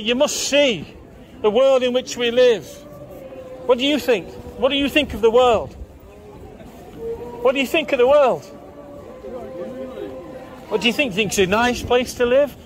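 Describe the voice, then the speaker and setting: A man preaching in the open air on a busy town market street, firing repeated questions at passers-by. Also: very high voice. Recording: outdoor street with faint crowd.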